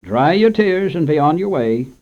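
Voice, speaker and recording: A man's voice: fairly high pitch, sing-song, normal speed, pitched above the performer's own voice. A man reading aloud and voicing a stern rural mother who questions and scolds her son firmly. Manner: firm, scolding, moral. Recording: dull lo-fi old radio recording.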